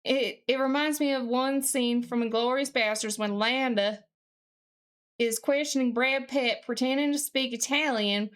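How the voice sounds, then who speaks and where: A woman's voice: sits high, measured pace, fairly bright tone, clean tone. A woman with a put-on Southern US accent, chatting casually as a live streamer in a folksy, mildly sweary way while working at a computer.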